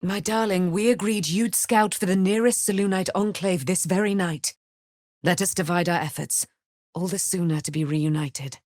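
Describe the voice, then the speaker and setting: An actress playing a proud, righteous immortal warrior, daughter of a moon goddess, with grandiose, formal delivery in a fantasy video game. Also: female voice, fairly low pitch, normal speed, darkish tone, no echo, clean studio sound, close to an everyday voice. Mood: tender, solemn.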